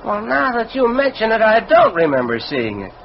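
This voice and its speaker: An animated, sincere store manager. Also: male voice, high-pitched, normal speed, gravelly, full character voice. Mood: friendly. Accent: American. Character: genial, excitable department store manager, sincere and dreamy. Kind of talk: old-time American radio drama acting. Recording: muffled, noisy old radio recording.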